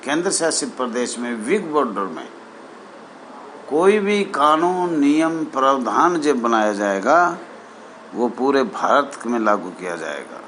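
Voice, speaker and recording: A man addressing association members as their leader, deliberate and repetitive, in formal official phrasing. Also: mid-range voice, very animated, fairly bright tone, slight background noise.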